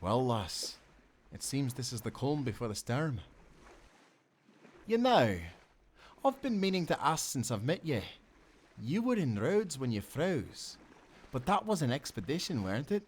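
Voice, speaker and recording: An actor playing a loyal, tender sailor in a nautical audio roleplay, speaking in an earnest, gentle way. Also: male voice, mid-range voice, big pitch swings, unhurried, pauses often, husky. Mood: curious, sympathetic. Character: loyal, tender young sailor, devoted companion.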